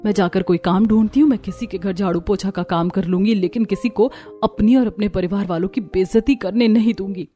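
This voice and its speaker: A woman's voice: mid-range voice, fairly quick, few pauses, dark tone, faint music behind, close to an everyday voice. Casting: female performer as a determined young village wife in a cartoon, earnest, respectful, poor yet proud, medium register. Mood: firm, upset.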